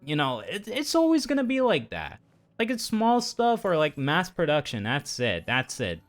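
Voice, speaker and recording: A man's voice: on the high side, wildly swooping pitch, normal speed. A man livestreaming in a casual chat over a game, rambling through his opinions and often repeating himself. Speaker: VTuber livestreamer.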